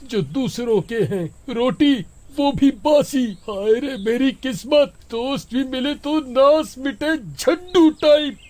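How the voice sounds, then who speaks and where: Man doing a high-pitched, whining young beggar. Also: slow, hardly any pauses, a little mellow. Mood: bitter griping. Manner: complaining, mocking, exaggerated. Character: whining, cocky young male beggar cursing his luck.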